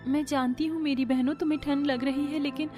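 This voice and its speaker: A woman as a tender, high-voiced poor girl. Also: steady tone, a little fast, warm tone, soft background music, close to an everyday voice. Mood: sad, pleading. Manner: tender, pleading. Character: gentle, self-sacrificing poor young elder sister. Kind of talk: cartoon moral story dialogue.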